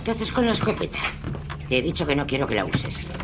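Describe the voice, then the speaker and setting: Una actriz que interpreta, en un radioteatro, a una abuela anciana, dura, regañona y dominante, con acento de España. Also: female voice, low-pitched, very animated, quick pace, music in the background. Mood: suspicious, stern. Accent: Castilian Spanish. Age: older. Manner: scolding, nagging, sharp-tongued. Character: harsh, scolding, domineering old grandmother. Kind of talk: audio drama acting.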